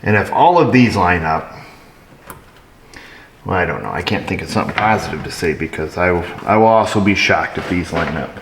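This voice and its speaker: A middle-aged mechanic with a lowish voice talks through a repair as he works, dry and wry, poking fun at himself. He sounds like he's in a big echoey garage, with clear shop noise behind him. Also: lively intonation, moderate pace, fairly bright tone. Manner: wry, dry self-mocking asides. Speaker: auto mechanic, shop owner. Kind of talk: casual how-to narration while working.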